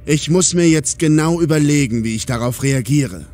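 A man's voice: on the high side, moderate pace, a little mellow, close to an everyday voice. A man playing a diplomatic, calculating police commander in a German audio drama. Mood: angry, tense.

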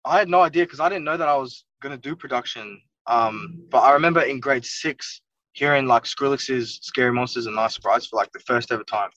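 A man's voice: medium pitch, a little fast, a bit bright, dry recording. A young Welsh-born man, a music producer, chatting casually in an interview from inside a car and rambling with lots of "like" and "you know what I mean". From Wales. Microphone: phone or laptop camera on a video call. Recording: dull lo-fi video call audio from a distance.

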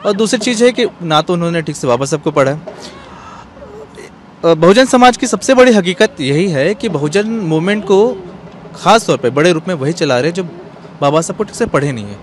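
A man's voice: on the high side, expressive, fairly quick. A man in his thirties answering earnestly as an interview guest, outdoors into a handheld microphone. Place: outdoor bench in an open courtyard. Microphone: handheld microphone held out by interviewer. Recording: faint outdoor urban noise.

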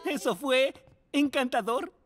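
A lively kind teacher. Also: female voice, high voice, takes it slowly, heavy background noise. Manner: gentle, encouraging, sing-song. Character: kind, patient adult teacher. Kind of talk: cartoon dubbing. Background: music.

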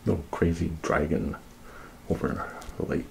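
An older man speaking softly in a halting ASMR ramble, murmuring and half-whispering close to a tabletop microphone. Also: rumbling low. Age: older, around 60s. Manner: soft-spoken, halting murmur, often breathy half-whisper. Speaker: ASMR creator showing own sketches. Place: small indoor room, green backdrop. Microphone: tabletop Yeti microphone, close. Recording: noisy close-up recording with clicks.

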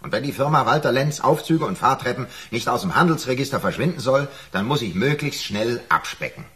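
Ein Schauspieler spielt im Hörspiel den nüchternen, schroffen Chef einer angeschlagenen kleinen Firma. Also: male voice, mid-range voice, animated, fairly quick, fairly bright tone, lightly acted. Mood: grim, insistent. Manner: matter-of-fact, evasive, brusque. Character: unsentimental boss of a struggling small firm. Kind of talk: radio drama acting.

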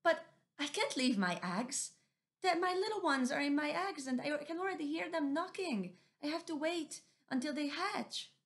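A woman's voice: sits high, animated, moderate pace, voice pushed up. A woman voicing a tiny, anxious mother owl who is protecting her eggs and stammers when she is scared. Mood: frightened. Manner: pleading, stammering when frightened.

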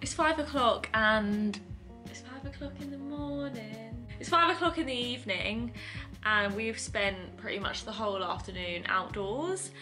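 A woman's voice: on the high side, measured pace, music in the background. A woman in her twenties chatting informally about her holiday for a vlog. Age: 20s. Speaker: vlogger. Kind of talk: casual holiday vlog chat.

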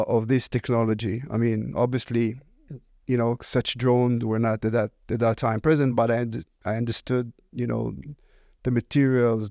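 A man's voice: medium pitch, darkish tone, dry recording. Middle-aged male founder with an Israeli accent, mid-range voice, casual interview storytelling, very dry sound. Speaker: company founder, podcast guest.

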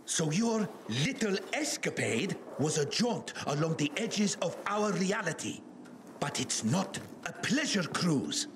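Pompous high-voiced eccentric scientist. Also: male voice, sing-song, slow pace, exaggerated voice. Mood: grave, lecturing. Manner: grandiose, theatrical, verbose. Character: pompous, impatient middle-aged male eccentric scientist. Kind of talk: video game cutscene acting. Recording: clearly noisy game ambience behind.

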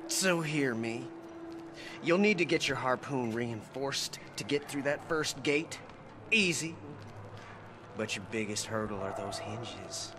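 A man's voice: medium pitch, lively intonation, takes it slowly, noisy background. An actor playing a wily, eccentric male wasteland mechanic in a game, with a colorful, drawling way of talking. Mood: scheming.

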